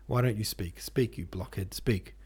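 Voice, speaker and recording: A man voicing a furious, tyrannical male schoolmaster who shouts insults at a pupil. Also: on the low side, moderate pace, breathy edge.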